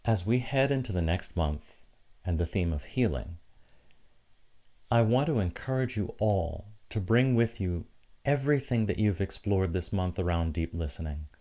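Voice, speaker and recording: A man preaching a reflective sermon in a deliberate, encouraging manner, with short emphatic phrases. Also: low-pitched, lively intonation, mellow. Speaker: preacher giving an online church sermon.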